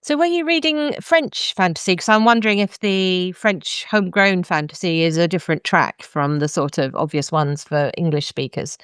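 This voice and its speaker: A woman hosting a podcast interview, asking measured, thoughtful questions. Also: lowish voice, clear, resonant tone, no echo, clean studio sound. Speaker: podcast host.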